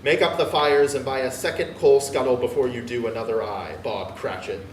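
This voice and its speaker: A man reading aloud and voicing an elderly reformed miser who is giddy and boisterous, with booming exclamations. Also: fairly even intonation, moderate pace, roomy sound, distant mic, slight background noise, lightly acted. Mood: jovial. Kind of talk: dramatic reading of a classic tale.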